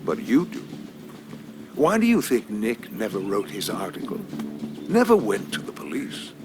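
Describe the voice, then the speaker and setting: An actor playing a smug, menacing elderly businessman in a video game, measured and silky, with theatrical pauses. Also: on the low side, wildly swooping pitch, music in the background. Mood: coolly threatening. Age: older. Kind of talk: video game dramatic acting.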